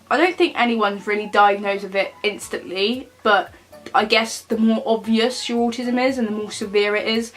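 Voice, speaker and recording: A woman's voice: mid-range voice, rough recording. A woman in her twenties talking to camera in a casual vlog, sounding nasal from a cold, chatty and plain-spoken with many fillers. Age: 20s. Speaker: vlogger.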